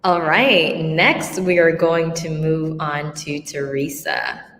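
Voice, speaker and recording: A woman's voice: low voice, very animated, slow pace, a bit bright, roomy sound, long reverb tail, a little hiss or hum. A woman moderating a panel, handing over briefly from one guest to the next. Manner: brief handovers between guests.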